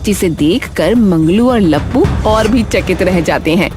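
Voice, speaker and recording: A woman's voice: mid-range voice, animated, measured pace, music in the background. A woman narrator reading a scripted cartoon story.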